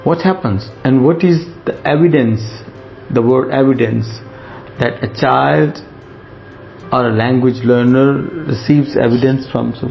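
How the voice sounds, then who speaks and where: Slow, gravelly male lecturer. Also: mid-range voice, a little mellow, low-quality recording. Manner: slow, explanatory, repeats points with fillers. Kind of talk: recorded lecture over slides.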